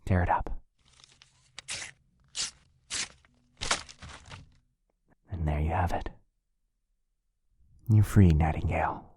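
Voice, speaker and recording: A man playing a tender, devoted vampire lover in an intimate romantic ASMR roleplay, speaking softly and haltingly with a breathy, often whispered voice. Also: sits low, a little hiss or hum, natural voice. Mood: reassuring. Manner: soft, halting, earnest delivery.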